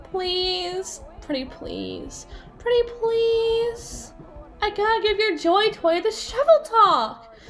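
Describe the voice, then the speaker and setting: Woman voicing a flirty jealous yandere bestie for asmr roleplay, high voice that swings all over, sassy n teasing. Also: very animated, a bit bright, faint music behind, heavily acted. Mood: teasing, coaxing, then mock-threatening, flirty. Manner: teasing, sassy, theatrical, drawn-out emphasis. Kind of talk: ASMR roleplay monologue addressed to the listener.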